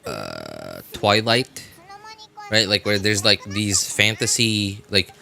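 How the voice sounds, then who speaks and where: A man's voice: lowish voice, animated, slow pace, gravelly, bright, forceful tone. A man chatting casually as a game livestreamer, hesitant and searching for words, often repeating himself. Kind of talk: casual rambling livestream chat.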